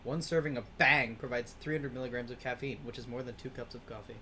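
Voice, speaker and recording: A young man chats wryly with his gaming group, reeling off details in a deadpan voice. His voice sits in a middle range. Steady noise runs behind him on his home mic. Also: medium pitch, quick pace. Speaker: player. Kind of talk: casual chat.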